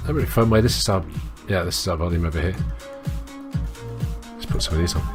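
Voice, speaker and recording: Very deep-voiced man over music. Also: fast talker. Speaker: host of a gaming let's-play show. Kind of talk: casual let's-play commentary. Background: game music.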